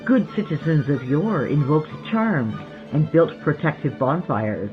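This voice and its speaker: A podcast host giving scripted horror narration in a theatrical, measured storytelling manner. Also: mid-range voice, animated. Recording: muffled lo-fi sound under music. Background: background music.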